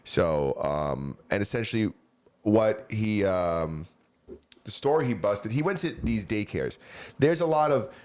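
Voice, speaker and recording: A man's voice: lowish voice, lively intonation, a little slow, a little mellow. A man co-hosting a podcast, chatting casually with loose, profane, rambling talk full of fillers. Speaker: podcast co-host.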